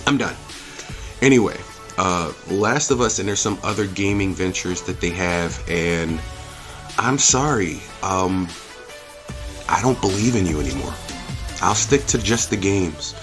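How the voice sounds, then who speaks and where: A man's voice: low voice, lively intonation, unhurried, pauses often, over music. A man hosting a review channel, speaking off the cuff in a blunt, emphatic, opinionated rant full of rhetorical questions and swearing. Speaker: host of a movie and game review channel.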